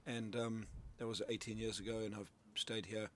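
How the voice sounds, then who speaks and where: A man's voice: on the low side, little pitch movement, moderate pace, a little hiss or hum. A middle-aged man from Zimbabwe, a sales coach talking through his ideas as a studio guest, loose and conversational with plenty of restarts. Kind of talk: radio interview, explaining ideas. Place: radio studio.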